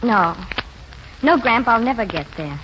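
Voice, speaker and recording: A woman's voice: fairly high pitch, animated, a little slow, a bit bright. A woman playing a headstrong, disillusioned young American woman in 1940s radio drama style. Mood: bitter, defiant. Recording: dull, faintly noisy 1940s radio recording.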